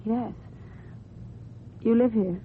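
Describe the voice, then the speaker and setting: An actress playing a young homeless runaway, guarded, defensive and curt, in a radio drama. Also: female voice, fairly high pitch, fairly even intonation, very slow. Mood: wary. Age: young adult. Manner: curt, clipped answers. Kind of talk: British radio drama acting. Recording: muffled, noisy old radio recording.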